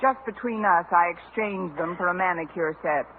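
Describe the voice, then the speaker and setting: An actress playing a wry, wisecracking schoolteacher with dry, quick-witted delivery, in 1950s radio comedy style. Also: female voice, lowish voice, close to an everyday voice. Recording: noisy tinny old radio recording, studio audience.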